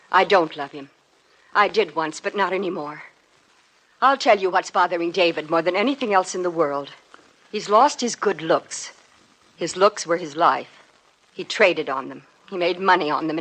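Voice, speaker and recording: An actress playing a cold, bitter, unforgiving wealthy wife in a 1950s radio drama. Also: female voice, lowish voice, normal speed, fairly bright tone, poor audio. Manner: cold, clipped.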